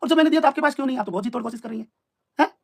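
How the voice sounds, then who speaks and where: A man's voice: very high voice, rapid-fire, vocal fry, slightly rough. A man in an informal live-stream argument, explaining himself in an emphatic, repetitive, combative way.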